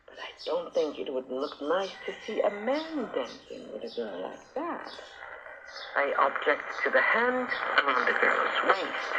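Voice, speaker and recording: A man voicing an imperious, curious old Chinese empress in an audiobook reading over shortwave radio. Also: on the high side. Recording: noisy, muffled shortwave radio broadcast.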